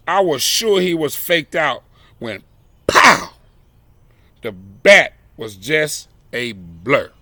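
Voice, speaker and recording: A man reads a story to children in a bright, forceful voice that sits a little high. He takes his time with deliberate, dramatic phrasing. The sound is dry and close. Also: no room sound. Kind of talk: expressive read-aloud of a children's picture book.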